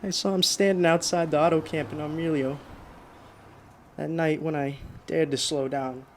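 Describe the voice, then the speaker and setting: An actor playing a frightened, exhausted driver in a radio drama, halting and muttering to himself. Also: male voice, fairly high pitch, fairly even intonation, slight background noise, close to an everyday voice. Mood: weary, uneasy. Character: frightened, exhausted male driver haunted by a phantom. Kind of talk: radio drama monologue and dialogue.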